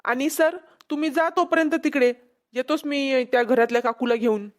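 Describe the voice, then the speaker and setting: Cartoon casting: woman as officious rescue worker, high voice, Vidarbha Marathi accent, questioning manner. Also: moderate pace, very noisy, natural voice. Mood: brisk. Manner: questioning, instructing. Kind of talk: amateur cartoon comedy voice acting.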